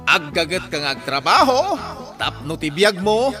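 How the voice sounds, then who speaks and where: A man's voice: high-pitched, big pitch swings, normal speed, bright, forceful tone, soft background music. A man with an Ilocano accent giving dramatic scripted narration as a radio drama narrator.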